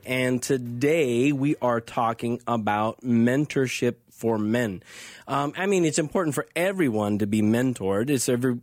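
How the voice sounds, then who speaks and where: A man's voice: mid-range voice, a little mellow, no room sound. American man hosting radio, dry studio sound. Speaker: radio host and pastor. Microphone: close studio microphone.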